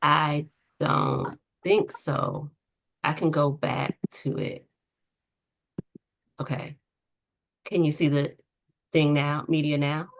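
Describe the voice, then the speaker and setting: A woman's voice: deep voice, lively intonation, slow pace, creaky, long pauses. A woman hosting an event informally, searching for her words as she goes. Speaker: event host.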